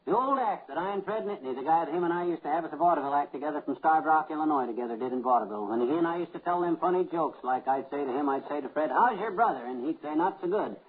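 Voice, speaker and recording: An actor playing a boastful, folksy middle-aged husband and former vaudeville comic, blustering and rambling in 1950s radio comedy style. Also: male voice, on the high side, animated, rapid-fire, few pauses, slightly rough. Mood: cheerful boasting. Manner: blustering, rambling, self-assured. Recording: muffled, narrow old radio recording.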